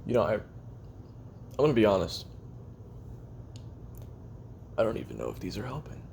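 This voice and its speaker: Deep creaky male voice, actor as jaded lonely hitman, halting confessional monologue, self-deprecating, faint background noise. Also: wildly swooping pitch, a little mellow, full character voice. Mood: weary, reflective. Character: weary, lonely, bitter male assassin recording tape memoirs. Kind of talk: confessional audio roleplay monologue.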